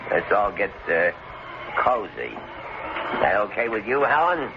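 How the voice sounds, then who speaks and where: An actor playing a menacing, crude stranger in an old-time radio thriller, mocking and bossy, with slow insinuation. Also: male voice, lowish voice, sing-song. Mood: leering, mocking. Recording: muffled, very noisy old radio recording.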